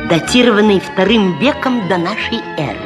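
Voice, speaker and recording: A woman's voice: medium pitch, animated, moderate pace. A woman presenting a scripted comic radio programme. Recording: 1970s Soviet radio recording with music.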